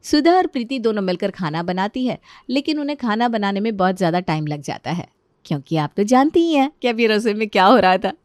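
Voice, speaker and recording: A woman with a mid-pitched voice narrates a scripted domestic story. The recording is dry and close, with no room sound. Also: lively intonation, fairly quick, no room sound.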